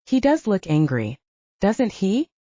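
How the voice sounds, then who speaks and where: A woman's voice: mid-range voice, very animated, slow, mellow, no echo, dull audio. A woman voicing a cool, sardonic, protective male werewolf with a dry, understated manner in an audiobook. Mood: cool mockery. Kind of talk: audiobook character acting.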